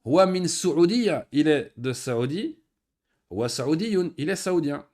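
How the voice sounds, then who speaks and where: A man teaching an Arabic lesson in French. He reads each Arabic phrase and then explains it patiently, with pauses and fillers. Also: on the high side, animated, a little mellow. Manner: patient, repeats words slowly with pauses and fillers. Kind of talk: recorded online lesson.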